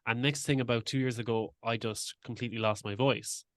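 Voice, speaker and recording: A male podcast host with a smooth, resonant voice talks in an earnest, reflective way. His pitch sits in the middle for a man. The sound is dry and close. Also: fairly even intonation, brisk, a bit bright, no echo. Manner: earnest, reflective, shares own stories. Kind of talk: casual interview chat.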